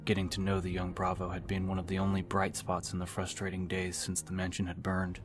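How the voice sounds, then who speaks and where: A man's voice: deep voice, completely flat delivery, brisk. A man reading scripted dramatic narration for an audiobook. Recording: music behind the voice.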